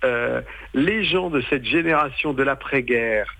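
A man's voice: medium pitch, measured pace, vocal fry, a bit bright, no echo. A man in his sixties from western France, a native speaker of patois, giving a casual interview in a hesitant, colloquial way, searching for his words. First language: western French patois. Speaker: stage performer, storyteller.

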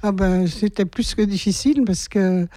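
Soft-spoken woman, mellow and dry. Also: medium pitch, moderate pace, no room sound. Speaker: new rosary group member.

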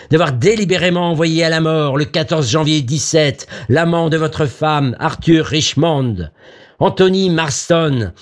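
A man voicing an inhuman, accusing voice from a gramophone record, high, clear and penetrating, in slow, solemn, formal proclamation. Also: close to an everyday voice.

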